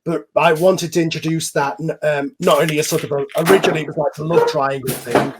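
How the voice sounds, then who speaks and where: A man with a fairly high voice hosts a relaxed podcast conversation on an online call. He talks in a loose, rambling way, like a creator chatting with colleagues. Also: fast talker, very noisy. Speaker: host and series creator. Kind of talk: casual podcast chat. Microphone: online group voice call.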